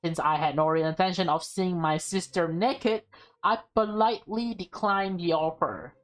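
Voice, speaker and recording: A woman doing a casual let's-play, reading a visual novel aloud haltingly, with stumbles, self-corrections and offhand commentary. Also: on the low side.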